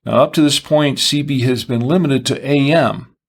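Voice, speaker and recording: A man presenting explanatory product commentary, speaking in measured, deliberate phrases with pauses. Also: mid-range voice. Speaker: presenter of a two-way radio show.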